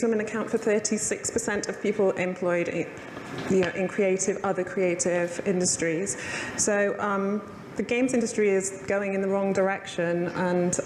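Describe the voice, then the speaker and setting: Woman chairing a panel in an echoey hall. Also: medium pitch. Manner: relaxed, with frequent "um" pauses. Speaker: panel chair and games journalist. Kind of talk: moderating a conference panel. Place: conference hall. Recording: roomy hall with long reverb and background noise.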